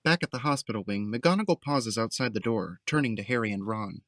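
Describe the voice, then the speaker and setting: A man's voice: medium pitch, lively intonation, brisk, a bit bright, no echo. Dry, close-sounding male narrator. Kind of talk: scripted audio drama narration, present tense.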